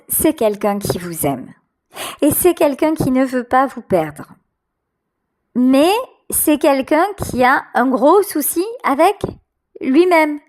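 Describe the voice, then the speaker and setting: A woman giving an unscripted tarot reading directly to the listener, halting and repeating her phrases. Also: high-pitched.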